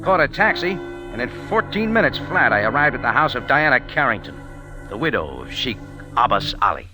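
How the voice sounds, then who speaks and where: A man plays a tough, wisecracking American adventurer in an old radio drama, with music behind him. His delivery is animated, moving freely between dry slang and punchy insistence. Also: medium pitch, fairly quick, lightly acted. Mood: wry narrating. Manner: hard-boiled, slangy, wisecracking. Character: wry, tough American adventurer and first-person detective narrator. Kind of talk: 1940s radio acting. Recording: vintage 1940s radio broadcast with music.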